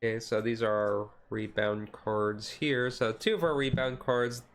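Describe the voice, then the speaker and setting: A man's voice: mid-range voice, steady tone. Man casually rambling through a card review. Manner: rambling, halting explanation. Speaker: streamer. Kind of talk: ASMR.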